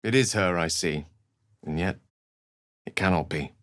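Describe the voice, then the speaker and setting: An actor playing an erudite, courteous wizard in a fantasy video game, speaking in an eloquent, formal and dramatic way, with wry and melancholy shades. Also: male voice, low-pitched, a little slow, fairly bright tone, clean tone, no room sound, clean studio sound. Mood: solemn. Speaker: video game companion character. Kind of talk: theatrical fantasy game dialogue and narration.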